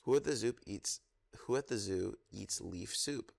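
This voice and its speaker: A man reads a children's book aloud in a fairly low voice with a lot of fry. He takes it very slowly, pausing to let listeners guess along with him. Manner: interactive, pauses to prompt listeners for guesses. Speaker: storybook reader at a children's story time.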